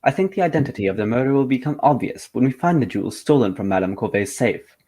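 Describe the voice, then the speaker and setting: A man's voice: medium pitch, lively intonation, quick pace, warm tone, husky. A man playing a shrewd, coolly confident detective in an amateur radio mystery play. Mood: confident, accusing.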